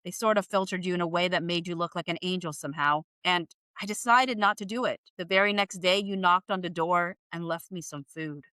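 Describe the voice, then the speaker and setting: A woman voicing a tender, lovelorn man in a screenplay, speaking in a hushed, earnest confession. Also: lowish voice, a little fast, few pauses, clear, resonant tone, no echo. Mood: hushed, grateful.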